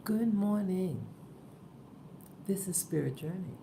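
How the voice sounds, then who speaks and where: A woman just awake, hoarse and soft-spoken, talking hesitantly in a casual morning vlog. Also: on the low side, animated, slow, slight background noise. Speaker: vlogger.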